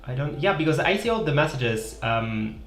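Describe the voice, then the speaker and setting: Italian man, young adult, live-stream host; rambling chat, roomy echo, soft music beneath. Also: mid-range voice, expressive, normal speed. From Italy. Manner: chatty, thinks aloud, trailing fillers. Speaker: livestream host. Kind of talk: casual live-stream chat while drawing. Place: small room indoors. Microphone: headset. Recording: echoey room with faint music.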